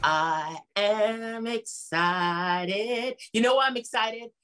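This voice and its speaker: A woman's voice: medium pitch, extremely slow, a bit bright, faint music behind. A woman hosting an interview show who introduces her guest with gushing enthusiasm and calls him sweetheart and honey. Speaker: show host.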